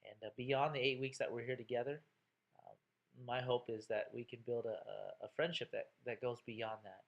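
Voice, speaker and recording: A man's voice: mid-range voice, steady tone, normal speed, gravelly, dull audio. A man in his thirties, a college instructor introducing himself to his class in a chatty, joking way with lots of fillers. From Texas, USA.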